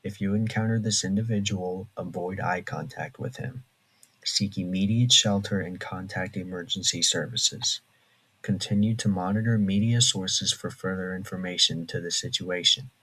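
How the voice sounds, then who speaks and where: A man's voice: deep voice, flat delivery, moderate pace, pushed and bright, slightly rough, full character voice, low-quality recording. A man voicing an impersonal official emergency alert announcer, reading a formal scripted broadcast. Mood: stern.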